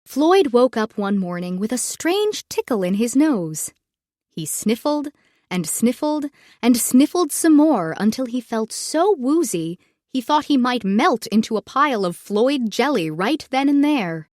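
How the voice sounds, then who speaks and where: Lady reading a kids book out loud, nice and slow, voice goes up and down a lot. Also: a little high, no echo, studio quality. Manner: expressive, measured read-aloud for young children. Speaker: storyteller reading a children's book aloud. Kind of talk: scripted children's storybook narration.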